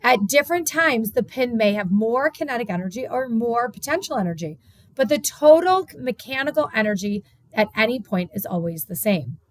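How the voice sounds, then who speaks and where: A woman's voice: a little high, animated, moderate pace, no room sound. Woman teacher, dry close sound. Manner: instructional, step-by-step, repeats key points. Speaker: science teacher. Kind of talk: reading a textbook lesson aloud with explanations.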